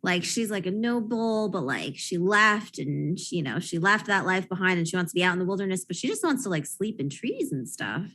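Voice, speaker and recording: A woman chatting casually and a bit haltingly as a player on a tabletop game show. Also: lowish voice, big pitch swings, a bit bright. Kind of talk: casual chat, thinking aloud.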